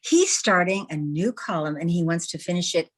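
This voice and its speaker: A woman's voice: lowish voice, moderate pace, lightly acted. A woman playing a proud, flustered young newspaperwoman in an old-time radio drama, hesitant and exasperated. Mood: anxious, covering up.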